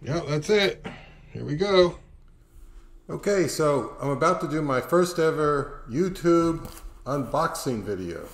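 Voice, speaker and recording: A man's voice: mid-range voice, slight background noise. A middle-aged American man narrating a casual vlog in a wry, conversational way. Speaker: audio gear YouTuber.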